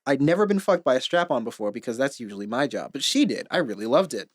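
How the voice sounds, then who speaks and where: A man's voice: medium pitch, fast talker, no echo, clean studio sound, pitched below the performer's own voice. A man reading as a blunt, cool queer woman. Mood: frank. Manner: blunt, deadpan, frank about sex. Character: cool, charismatic queer woman from Los Angeles, in her late twenties. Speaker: comedian reading a listener's story. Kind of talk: casual first-person story reading.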